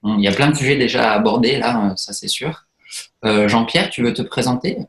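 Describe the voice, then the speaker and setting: A man hosting an interview, who introduces guests and asks questions haltingly with many fillers. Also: medium pitch. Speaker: host. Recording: roomy echo.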